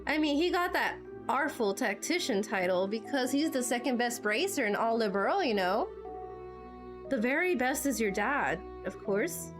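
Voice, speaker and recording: A woman doing amateur game voice acting as a bubbly, friendly, excitable young female fighter with a perky, informal manner. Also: a little high, moderate pace, music in the background, natural voice. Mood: chatty. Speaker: amateur voice actor playing through a game.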